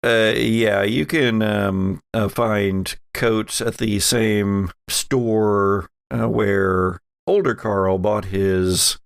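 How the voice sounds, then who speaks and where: A man's voice: on the low side, fairly even intonation, extremely slow, creaky, hardly any pauses, smooth, dry recording, clean studio sound. A man narrating a tabletop roleplaying game as game master in a conversational way.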